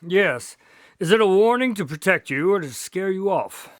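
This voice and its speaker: An actor playing an earnest, inquisitive detective's sidekick in an audio drama, agreeable and full of questions. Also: male voice, sits high, lively intonation, normal speed, no room sound, studio quality. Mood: curious, earnest. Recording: clear background noise, vehicle sounds.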